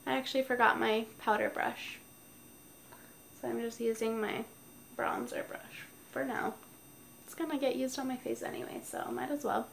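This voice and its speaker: A woman with a somewhat high voice and a stuffy nose explains her makeup routine in a chatty, step-by-step way. She talks casually from home. A faint hiss from the mic stays under her voice. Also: steady tone, moderate pace, vocal fry, long pauses. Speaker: beauty vlogger. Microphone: noisy mic.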